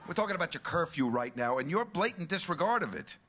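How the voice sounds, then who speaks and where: An actor playing a pushy, self-important parole officer in a radio play, wheedling. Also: male voice, on the high side, big pitch swings, quick pace, sharp tone, a little hiss or hum. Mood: officious. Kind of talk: stage play performed for radio.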